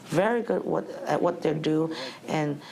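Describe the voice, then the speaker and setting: A woman's voice: deep voice, measured pace, gravelly, a little mellow. A woman who directs a nonprofit, speaking firmly and earnestly about the people she serves. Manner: firm, earnest advocacy. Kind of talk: documentary interview.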